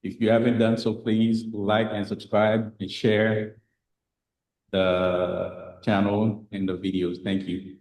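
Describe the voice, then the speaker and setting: A man hosting an interview, reading his introduction and asking questions in a measured way. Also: lowish voice, little pitch movement, darkish tone, roomy sound, rough recording. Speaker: host.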